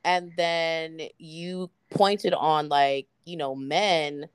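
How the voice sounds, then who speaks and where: A woman's voice: deep voice, extremely slow. Effusive young woman podcast host. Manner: lively, slangy and effusive. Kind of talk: casual interview chat.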